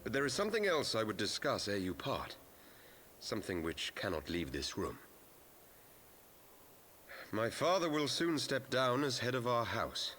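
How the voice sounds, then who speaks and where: An actor playing an earnest, conflicted young noble heir in a fantasy game, speaking in formal archaic language. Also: male voice, medium pitch, huge pitch swings, unhurried, long pauses, fairly bright tone, soft background music. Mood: grave. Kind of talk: scripted fantasy game acting.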